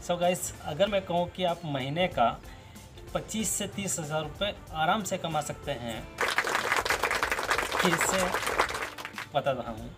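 Animated man pitching a delivery job. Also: takes it slowly, long pauses, loud music behind the voice, a loud crowd. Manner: animated, gestures with both hands, repeats key points. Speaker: job channel host. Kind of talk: direct-to-camera job advice. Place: outdoors by a plain wall.

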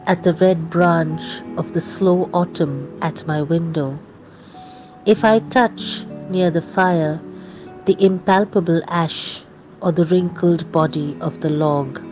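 A woman's voice: low voice, rough recording. A woman, reciting a poem as a scripted reading. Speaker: poem reader. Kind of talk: slow poetry recitation. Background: music.

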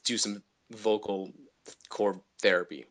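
A man, a voice actor, chatting casually in an interview, telling animated stories about his work. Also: on the low side, slow. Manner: energetic, animated storyteller who slips into character voices. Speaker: voice actor guest.

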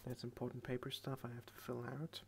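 A tired young man hosting a late-night ASMR video, rambling in a soft half-whisper into a headset microphone at his computer desk. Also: mid-range voice, completely flat delivery, quick pace, creaky, heavy background noise. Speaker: channel host.

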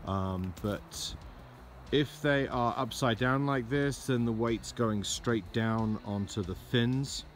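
A man narrating a DIY trailer project in a casual how-to style, relaxed and full of "uh" and "um", recorded outdoors in a yard on a camera mic. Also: medium pitch, slow pace, slight background noise. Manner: relaxed, lots of "uh" and "um". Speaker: DIY vlogger.

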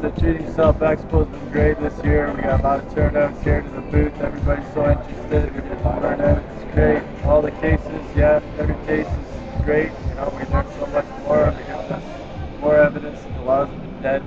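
A man's voice: fairly high pitch, monotone, a little fast. A man giving casual, colloquial answers as an interview guest. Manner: informal, colloquial. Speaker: interview guest, legal activist. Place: expo booth. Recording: dull lo-fi audio under loud music. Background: loud music.

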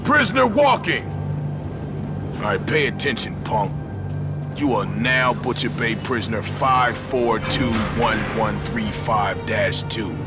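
An actor playing a stern, bullying prison guard in a video game, drilling out rules in a commanding way. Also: male voice, medium pitch, big pitch swings, takes it slowly, over loud music. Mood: barking orders. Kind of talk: cinematic video game acting.

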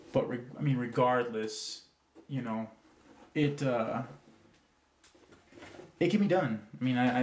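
A man's voice: mid-range voice, a little hiss or hum. Rambling congested man reviewing toys. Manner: rambling, frequent fillers and restarts. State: congested from a cold. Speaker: toy reviewer. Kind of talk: casual review.

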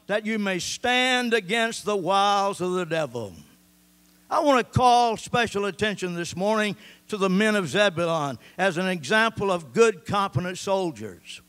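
A man's voice: extremely high pitch, fairly bright tone, clear, resonant tone. An older man preaching a sermon with a handheld mic on a church stage, reading scripture and gesturing as he talks. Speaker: pastor. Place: church stage with band gear, indoors.

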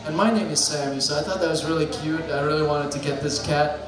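A young man doing a deadpan stand-up set with dark jokes on a bar stage, talking into a handheld mic. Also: on the high side, fast. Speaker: stand-up comedian. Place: small bar stage with a live crowd. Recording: echoey room with loud crowd.

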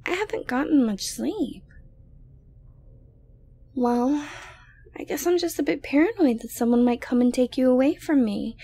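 Girl doing a creepy sweet yandere gf asmr, slow n breathy, kinda crackly, whispery, possessive and dominant. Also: high voice, lively intonation, mellow, heavily acted. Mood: tired, coaxing. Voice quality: breathy, often dropping to a whisper. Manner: slow, coaxing, condescendingly sweet. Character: obsessive, dominant, sadistic yandere girlfriend, creepily tender. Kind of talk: ASMR roleplay monologue to the listener.

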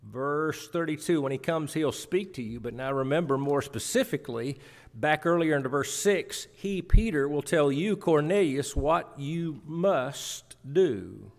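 A man's voice: fairly high pitch, lively intonation, a little slow, vocal fry. Middle-aged male preacher in a reverberant hall. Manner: emphatic, dramatic swings, repeats phrases for emphasis. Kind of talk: sermon, scripture exposition. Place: church auditorium, at a pulpit. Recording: reverberant church hall sound.

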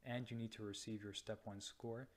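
A young man from North America, a radiology resident, explaining slides slowly with many pauses and restarts. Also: lowish voice, steady tone. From United States or Canada. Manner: slow, hesitant, many ums and restarts. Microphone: voice-over to slides.

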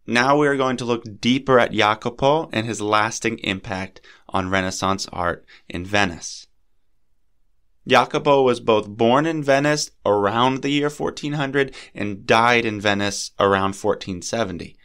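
A man hosting a podcast, narrating art history in a measured, lecturing way, his voice a little scratchy and sniffly from a cold. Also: mid-range voice, fairly bright tone, clean tone. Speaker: podcast host.

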